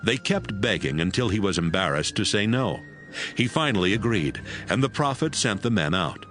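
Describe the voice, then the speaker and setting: A man's voice: on the low side, lively intonation, moderate pace, fairly bright tone, soft background music. A man giving scripted narration for a dramatized audio Bible.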